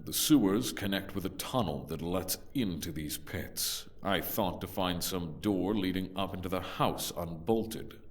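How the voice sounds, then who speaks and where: Big fierce barbarian, really deep voice, blunt and terse, bit distant from mic, audio drama. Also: male voice, a little slow, few pauses. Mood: grim. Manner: terse, blunt, matter-of-fact. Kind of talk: fantasy audio drama acting.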